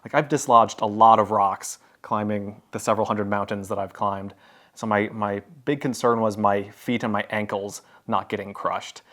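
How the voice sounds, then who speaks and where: A man's voice: lowish voice, fairly even intonation, normal speed, a bit bright. A man vlogging to camera, telling a story in a casual, rambling way, full of fillers and restarts. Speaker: travel vlogger.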